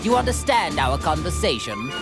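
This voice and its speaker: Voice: mid-range voice, big pitch swings, normal speed. An actor playing a cold, haughty alien tyrant in an anime game, sneering pompously and with menace. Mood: haughty, scheming. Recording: loud music under the voice.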